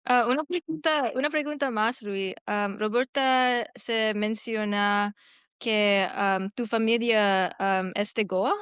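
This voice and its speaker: A woman whose first language is Hindi speaks learner Spanish slowly and haltingly. Her voice is clear and smooth, recorded dry and close. She fills pauses with "am" and slips English words in between. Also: mid-range voice, a bit bright, dry recording. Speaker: podcast guest. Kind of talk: casual conversation in learner Spanish.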